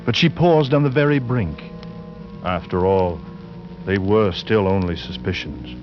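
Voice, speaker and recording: An actor playing the grave storyteller of a 1940s radio suspense drama, reading dramatic scripted narration. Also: male voice, low-pitched, unhurried, music in the background. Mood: grave, suspenseful. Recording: muffled, noisy old 1940s radio recording.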